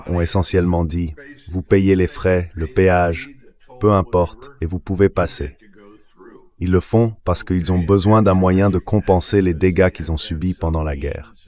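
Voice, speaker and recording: A man's voice: low-pitched, a little mellow. An AI-generated male voice reading a translated voice-over of a guest's political commentary in an interview.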